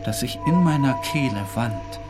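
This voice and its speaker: A man playing a tormented, half-mad painter in a dramatic literary narration, speaking with slow, halting intensity. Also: mid-range voice, animated, over music, natural voice. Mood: unhinged, intense. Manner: slow, halting, intense, dropping at times to whispers.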